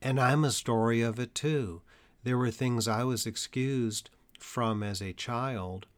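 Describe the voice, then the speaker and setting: A man working as a stuttering therapist, giving a measured educational talk to parents and teachers with deliberate, emphatic pauses. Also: medium pitch.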